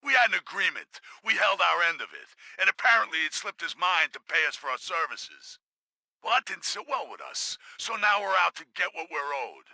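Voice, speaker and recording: A bright, piercing villain for a video game, a swaggering male gang leader. His pitch sits high and he delivers every line as a theatrical taunt, full of grievance and cocky menace. The pitch swings widely. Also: big pitch swings, moderate pace, creaky, dry recording, full character voice, rough recording. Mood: bitter, aggrieved.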